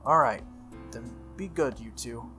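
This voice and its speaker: A man's voice: mid-range voice, unhurried, natural voice. Man playing an earnest, easygoing teenage boy over music. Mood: resigned. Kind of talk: visual novel character reading.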